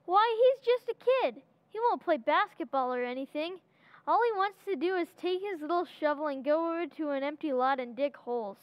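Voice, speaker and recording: Actress as offhand radio-comedy kid son: very high, boyish, dry recording. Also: female voice, lively intonation, a little fast, no room sound, muffled recording. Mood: dismissive. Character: casual, dismissive young son. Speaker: radio drama actor. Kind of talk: old-time radio comedy acting.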